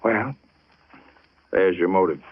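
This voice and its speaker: An actor playing a brusque, hard-nosed police detective in a 1940s radio drama, terse and commanding. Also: male voice, deep voice, animated, slow, heavily acted. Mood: hushed, grave. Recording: dull old radio broadcast.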